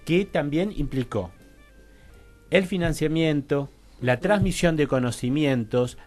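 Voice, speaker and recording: A man with a Rioplatense Argentine accent talking in a radio panel as a guest professional, halting and reflective, often checking he is followed. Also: medium pitch, darkish tone. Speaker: guest professional working in health and diversity.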